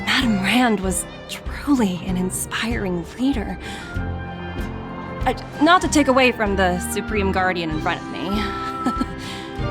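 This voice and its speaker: A woman playing a poised, candid corporate executive in a video game cutscene, articulate, measured and businesslike. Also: mid-range voice. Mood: admiring. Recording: music behind the voice.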